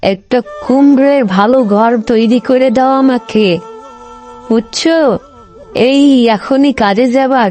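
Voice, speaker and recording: A woman plays a bossy fox wife in a cartoon fable. Her voice is fairly high yet soft-edged and mellow, with a homely, instructing delivery. Music plays behind her. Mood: demanding. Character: bossy, demanding wife fox, longing for better home. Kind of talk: cartoon moral story acting.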